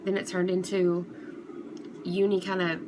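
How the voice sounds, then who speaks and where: A woman's voice: on the low side, monotone, a little slow. A woman giving casual, unscripted opinion commentary as a vlogger, talking in a hesitant, rambling, conversational way. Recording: clearly noisy background ambience.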